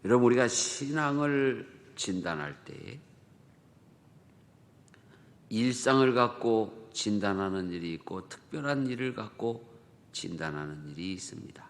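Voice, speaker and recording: A man's voice: lowish voice, lively intonation, a little slow. A male pastor with a Chungcheong Korean accent preaching a dawn prayer sermon in a conversational manner, full of rhetorical questions and dialect jokes. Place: church sanctuary. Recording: long church hall reverb.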